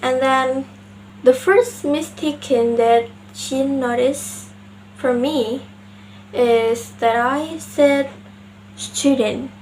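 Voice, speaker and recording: High-voiced woman with an Indonesian accent. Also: darkish tone, a little hiss or hum, rough recording. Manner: slow, searching for words. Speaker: student. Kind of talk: class presentation on pronunciation.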